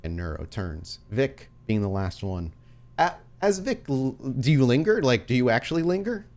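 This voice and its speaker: A man narrating a tabletop horror roleplay as game master, with dramatic, deliberate scene-setting. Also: medium pitch, big pitch swings, darkish tone.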